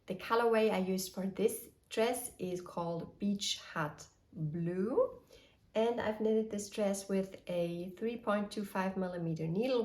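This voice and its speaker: A woman in her thirties hosting a knitting podcast, talking in a cheerful, detailed way and pausing now and then while still getting over a cold. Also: medium pitch, a little mellow. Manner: cheerful, detailed, slightly hesitant. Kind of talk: casual show-and-tell. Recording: echoey room.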